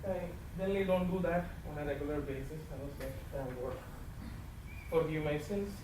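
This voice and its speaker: High-voiced Indian man speaking from afar. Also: fairly quick. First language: not English; English is his third language. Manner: storytelling with comic timing, animated gestures. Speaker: club member giving a farewell speech. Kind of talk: humorous public speech. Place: large office meeting room with windows and a projection screen. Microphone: far camera, no mic. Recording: echoey room from far off, audience noise.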